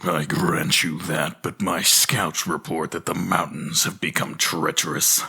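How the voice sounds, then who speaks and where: A man playing a solemn, noble warrior leader in a fantasy audio drama, speaking in a grave, deliberate, formal way. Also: low voice, flat delivery, creaky, bright, forceful tone, husky, no echo, studio quality, full character voice. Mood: grave, firm. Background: background music.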